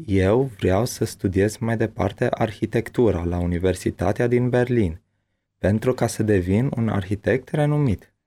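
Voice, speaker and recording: A man reading his part of a bilingual language-lesson dialogue with careful, deliberate enunciation. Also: on the low side, dark tone.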